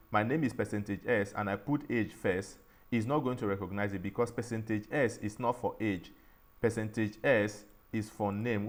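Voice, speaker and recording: A man teaching a programming tutorial, explaining each step slowly and often restarting and saying "all right". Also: on the low side. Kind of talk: screen-recorded coding tutorial.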